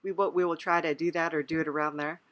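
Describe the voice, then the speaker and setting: A woman hosting a casual interview in an agreeable, somewhat halting way. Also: low voice, fairly even intonation, a bit bright, dry recording. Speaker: podcast host, interviewer.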